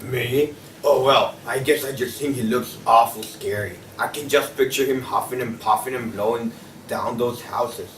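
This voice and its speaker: A man's voice: mid-range voice, normal speed, fairly bright tone, close to an everyday voice. Timid, hesitant pig juror, played by a man, distant in an echoey room. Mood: nervous. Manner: hesitant, unsure. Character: nervous, hesitant pig juror scared of wolves. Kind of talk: student table read of a comic play. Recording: echoey room, distant mic, faint noise.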